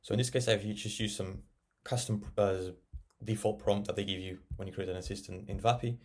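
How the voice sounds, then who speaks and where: A man narrating a casual software tutorial, explaining and thinking aloud as he works. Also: lowish voice, steady tone, a little fast. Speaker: tech tutorial presenter.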